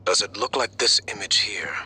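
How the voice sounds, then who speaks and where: An actor playing a calm, probing clinician in a video game, questioning in a measured, insistent way. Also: male voice, on the low side, slight background noise. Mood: probing, controlled. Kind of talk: dramatic video game voice acting.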